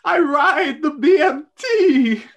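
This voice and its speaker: A woman's voice: high-pitched, animated, slow, voice pushed up. A woman voicing a distressed, defiant young princess, wailing her lines in an amateur script reading. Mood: tearful defiance.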